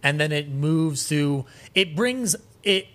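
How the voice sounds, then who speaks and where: A man's voice: a little high, lively intonation, slow pace, a bit bright. A man chatting casually as a podcast guest, emphatic and enthusiastic, often restarting mid-thought as he gives his opinions. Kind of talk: casual opinionated chat about music and film.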